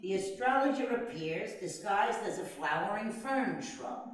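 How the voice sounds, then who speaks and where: A woman's voice: medium pitch, animated, measured pace. A woman reading stage directions as narrator in a dramatic live play reading. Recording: echoey hall, far from mic.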